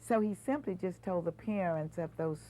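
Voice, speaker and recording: A woman's voice: fairly low pitch, normal speed, dark tone, low-quality recording. A woman speaking unscripted as an interviewee, recounting history. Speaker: documentary interviewee. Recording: faint hum behind voice.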